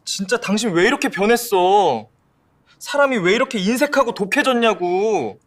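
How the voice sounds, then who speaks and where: A man's voice: high-pitched, lively intonation, normal speed. A man voicing an exasperated husband in an audio drama, pleading and accusing. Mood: angry. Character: exasperated husband devoted to his mother.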